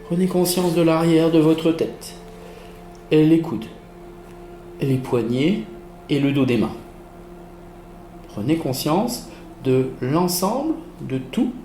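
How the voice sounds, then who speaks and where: Male yoga teacher for guided meditation: fairly high, slow, soothing delivery, roomy sound over soft music. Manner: soothing, measured instructions with pauses. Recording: roomy echo with music behind. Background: relaxation music.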